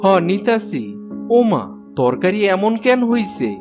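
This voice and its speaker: A man's voice: high-pitched, big pitch swings, a little slow, music in the background. A man voicing a demanding village farmer in a cartoon, with a rural eastern Bengali accent. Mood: annoyed. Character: demanding village farmer, grown son and husband. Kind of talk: cartoon character acting.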